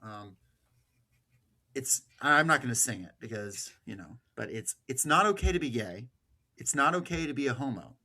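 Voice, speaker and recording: A man's voice: mid-range voice, lively intonation, normal speed, bright, forceful tone. A man hosting a podcast, talking informally and slangily in a casual style of political chat and storytelling. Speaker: podcast host.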